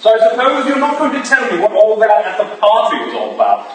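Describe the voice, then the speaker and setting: A high male voice comes from far off in an echoing, noisy hall. An actor plays an airy, polite, teasing Victorian gentleman in a stage drama. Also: lively intonation, quick pace. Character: breezy, teasing Victorian gentleman, the troublesome brother.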